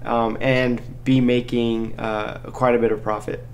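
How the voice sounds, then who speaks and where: A man's voice: mid-range voice, flat delivery, a little slow, a little hiss or hum. A man hosting a casual live chat, talking in an informal, encouraging and slangy way as he helps listeners out. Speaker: live Q&A host, crypto mining helper.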